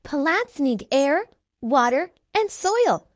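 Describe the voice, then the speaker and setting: A woman's voice: high voice, sing-song, slow pace, no room sound, full character voice. A woman voicing a confident young cartoon puppy girl in a storybook, eager and knowing.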